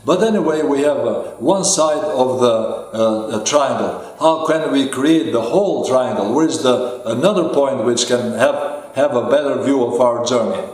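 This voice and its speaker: A man who speaks English as a second language, giving a motivational lecture as a life coach. He speaks slowly and deliberately, with many hesitations and fillers. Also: medium pitch, a little mellow. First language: not English. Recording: very echoey room.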